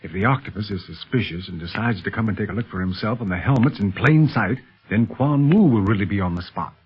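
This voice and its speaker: A steady secret agent from a 1930s radio adventure serial. His American voice is notably hoarse-edged and husky, earnest and commanding as he explains and protects. The sound is dull and scratchy, like an old broadcast. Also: fairly low pitch, fast talker, soft background music. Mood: measured, cautious. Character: calm, protective adult secret police agent in danger.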